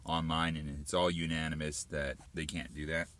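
A man's voice: extremely low pitch, flat delivery, normal speed, fairly bright tone. A man chiming in casually on a phone call to add points to a complaint. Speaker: second caller. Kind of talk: casual interjections in a phone conversation.

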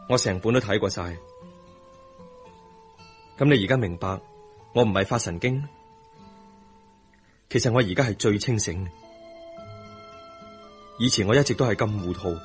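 An actor with a Hong Kong Cantonese accent playing a tender, remorseful young husband in a radio drama, earnest and emphatic. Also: male voice, lowish voice, measured pace, long pauses, natural voice. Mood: earnest, ardent. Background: music.

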